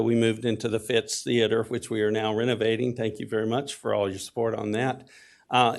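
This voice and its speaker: A man's voice: medium pitch, creaky. A man with a Texas accent giving a friendly, appreciative public comment at a city council meeting. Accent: Texan. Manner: folksy, jovial, appreciative. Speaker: public commenter, theater volunteer.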